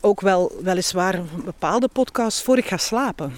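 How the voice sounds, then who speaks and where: A woman's voice: mid-range voice, animated, normal speed. A woman with a Flemish accent chatting candidly and expressively as an interview guest. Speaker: guest, author and healthy-lifestyle speaker. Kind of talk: casual interview chat.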